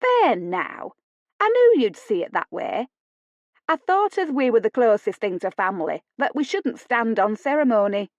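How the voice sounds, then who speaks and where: A woman's voice: on the high side, very animated, moderate pace, dry recording, muffled recording, pitched above the performer's own voice. A woman puts on a flamboyant Yorkshire lady of about fifty-five for a period novel. She is effusive and far too familiar, with a needling edge under the politeness. The sound is close and dry. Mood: delighted. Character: flamboyant Yorkshire woman in her fifties, gushing, brazen.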